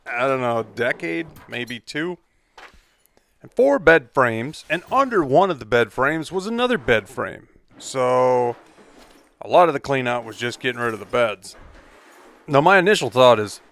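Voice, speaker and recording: A man's voice: medium pitch, fairly bright tone. A middle-aged man narrating a casual vlog in an easygoing, wry, matter-of-fact way, speaking outdoors in the woods. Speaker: vlogger. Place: outdoors in a pine forest.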